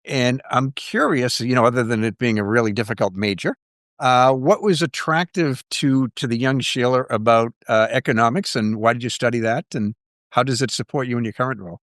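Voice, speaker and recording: Male podcast host, mid-pitched, friendly and loose, dry studio sound. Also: a little fast, a bit bright, dry recording. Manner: friendly, filler-heavy questions. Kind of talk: podcast interview hosting.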